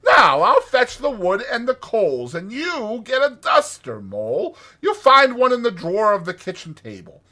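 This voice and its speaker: Man doing a high, hearty storybook rat. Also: huge pitch swings, bright, forceful tone, full character voice. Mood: bustling. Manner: exclamatory, brisk old-chap chumminess. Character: hearty, bossy, cheerful rat, a loyal friend.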